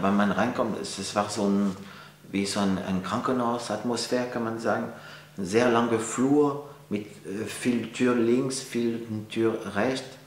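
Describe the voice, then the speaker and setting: French-accented man, low voice, echoey room. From France. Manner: halting, many fillers and restarts. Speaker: interviewee, painter. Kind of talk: casual interview answers. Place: art gallery, indoors. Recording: echoey room, faint hum.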